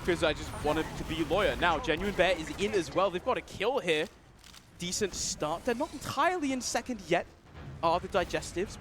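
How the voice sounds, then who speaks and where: A man calls a live esports match, his voice sitting a little high. He jokes and rambles, restarting his sentences. A clear hiss of noise runs under the stream audio. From Denmark. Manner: joking, rambling banter with frequent restarts. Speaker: esports caster.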